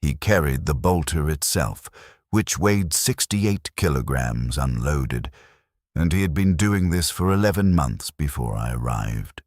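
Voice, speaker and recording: A man reading scripted first-person war fiction in a grave, measured manner with short clipped sentences. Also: very deep voice, lively intonation, a little mellow, dry recording, clean studio sound. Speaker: narrator of science fiction war stories.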